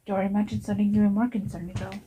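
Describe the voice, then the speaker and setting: A woman voicing a serious young informant in an amateur game voice-over, husky and matter-of-fact as she reports. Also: medium pitch, little pitch movement, racing, dark tone, a little hiss or hum, lightly acted. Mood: serious, factual.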